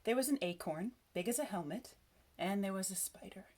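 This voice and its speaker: A woman reading a children's story aloud, with expressive, measured narration and dramatic phrasing. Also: medium pitch. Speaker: storybook reader.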